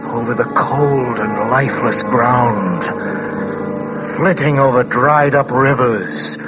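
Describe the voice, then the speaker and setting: An actor playing a frightened, haunted man driving alone, narrating intensely and haltingly in 1940s radio drama style. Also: mid-range voice, natural voice, low-quality recording. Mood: mounting dread. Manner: intense, halting, repeating himself. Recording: old 1940s radio broadcast with music.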